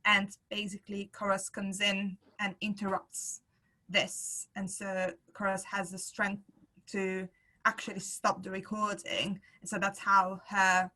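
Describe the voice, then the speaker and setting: A woman playwright explaining her work casually, in a halting, apologetic manner. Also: mid-range voice, monotone, bright, forceful tone, rough recording. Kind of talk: casual explaining at an online discussion.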